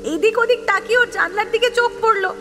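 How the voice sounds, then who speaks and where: A woman's voice: extremely high pitch, fast. A woman playing a wistful, lovelorn ghost who narrates an audio drama. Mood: dramatic narration. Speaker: narrator and lead character. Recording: reverberant hall sound under music. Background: prominent background music.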